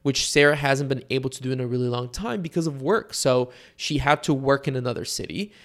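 A young man from Bulgaria hosting a solo podcast and talking to his listeners in a relaxed, conversational way. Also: medium pitch, brisk. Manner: conversational, with fillers and asides to listeners. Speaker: podcast host. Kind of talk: casual solo podcast monologue.

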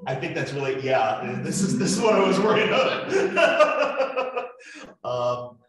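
A man's voice: fairly high pitch, big pitch swings, slow, fairly bright tone, slight background noise. A man from a podcast team on a panel, musing hesitantly. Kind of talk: panel discussion. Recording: echoey room.

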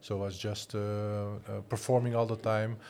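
Flemish man, 40s, low voice, halting non-native English, faint background noise. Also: little pitch movement, darkish tone. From Flanders, Belgium. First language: Flemish Dutch. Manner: hesitant, many restarts and fillers. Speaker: guest, design school director and musician. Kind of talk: casual interview talk.

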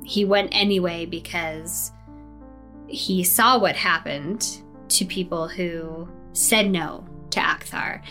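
Slow-talking, bright-voiced woman player. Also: fairly low pitch, faint music behind. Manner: unhurried, hesitant, many ums. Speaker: tabletop game player. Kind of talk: casual in-game backstory telling.

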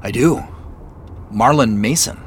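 A man's voice: mid-range voice, slow pace, husky. A man acting in an audio drama as a suspicious, on-edge man investigating a mystery, with a dry, guarded manner. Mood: guarded, wry. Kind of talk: naturalistic audio drama dialogue.

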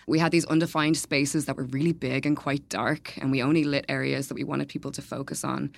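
A woman speaking as a guest on a podcast, answering in a relaxed conversational way with light laughs and frequent fillers. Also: deep voice, vocal fry, no echo. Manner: laughs lightly, many ums and kind-ofs. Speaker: events manager, podcast guest. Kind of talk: casual interview answers.

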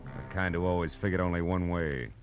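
An actor playing a cool, sardonic private eye in 1940s radio drama style, with clipped, wry, tough talk. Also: male voice, low voice, steady tone, brisk, music in the background, close to an everyday voice. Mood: wry, grim. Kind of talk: 1940s radio detective acting, first-person narration.

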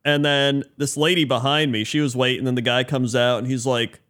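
A man's voice: mid-range voice, flat delivery, brisk, fairly bright tone. A man co-hosting a podcast in casual chat, telling a laid-back story and mimicking people. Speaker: podcast co-host.

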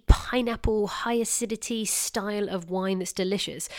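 A woman's voice: medium pitch, darkish tone. A woman hosting a wine podcast, speaking in an informal, chatty and enthusiastic way. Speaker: podcast host. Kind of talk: wine podcast narration and chat.

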